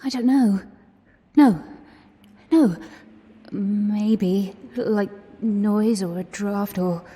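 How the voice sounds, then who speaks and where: A woman's voice: mid-range voice, drawn-out words, dark tone, slight background noise. An actress playing a frightened but resolute young Englishwoman in a 1920s period radio drama, speaking urgently in an English accent. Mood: shaky, urgent. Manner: urgent, anxious. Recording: long reverberant space, music underneath. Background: music.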